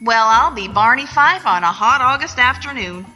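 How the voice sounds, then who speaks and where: A woman's voice: fairly high pitch, lively intonation, moderate pace, bright, forceful tone. An actress playing a folksy, skeptical young rookie policewoman with a Southern US accent in a comic radio play. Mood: astonished. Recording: echoey event hall, music behind.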